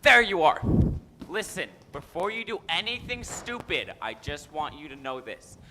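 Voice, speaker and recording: A sneering, spiteful rival, as a man plays him draws out his taunts with a sharp, piercing edge. The long reverb of a hall trails behind him. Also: a little high, sing-song, moderate pace, a little hiss or hum, heavily acted.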